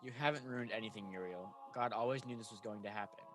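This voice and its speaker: A man's voice: lowish voice, fast, fairly bright tone, faint music behind, lightly acted. A man playing a stern, dutiful archangel in a radio play, speaking earnestly and solemnly as he instructs. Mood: authoritative.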